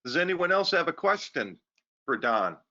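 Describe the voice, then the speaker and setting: Bright-voiced male host, lo-fi call. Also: a little high, sing-song, moderate pace, a little hiss or hum. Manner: easygoing, with fillers. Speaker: event host. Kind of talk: casual moderating.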